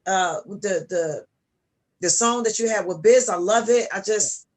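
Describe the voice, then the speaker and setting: A woman's voice: medium pitch, measured pace. A woman from New Jersey hosting a casual talk radio chat, with an ordinary mid-range voice. She keeps encouraging her guest along and breaks into easy laughter. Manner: warmly encouraging, laughs easily. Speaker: radio show host.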